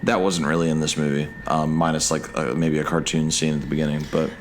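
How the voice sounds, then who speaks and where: A man's voice: a bass voice, level tone, quick pace, a little hiss or hum. A man in his thirties co-hosting a podcast, chatting casually with loose, hesitant phrasing. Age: 30s. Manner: loose, hesitant, restarts often. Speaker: podcast co-host. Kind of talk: casual chat. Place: military service member.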